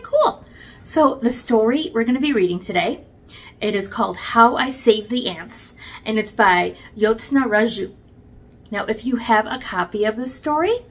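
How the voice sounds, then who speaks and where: Woman, children's show host, highish voice, very animated, slightly husky, chirpy and encouraging. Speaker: children's story time host. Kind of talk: child-directed channel introduction.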